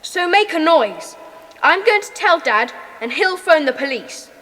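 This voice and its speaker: An actress playing a decisive young boy who leads his gang in a children's audio drama, brisk and commanding. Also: very high-pitched, very animated, bright, forceful tone, heavily acted. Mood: urgent. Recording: long hall-like reverb.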